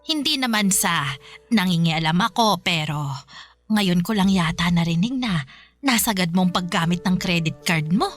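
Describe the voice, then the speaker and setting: A woman's voice: on the low side, close to an everyday voice. A woman playing a caring middle-aged mother in a Filipino radio drama, solicitous and tactful. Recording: music behind the voice.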